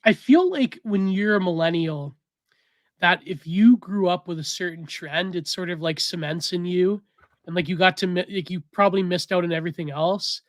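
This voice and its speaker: A man's voice: high voice, moderate pace, no echo. A congested man co-hosting a podcast in loose, rambling casual chat. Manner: loose and rambling, reads donor messages aloud. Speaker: podcast co-host.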